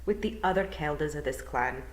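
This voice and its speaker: Lady doing an old pixie bard guy for storytime, slow n polite, rolls his r's, wistful, echoey room. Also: low voice, expressive. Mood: solemn. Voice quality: rolled R's. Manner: clear, slow, polite, formal.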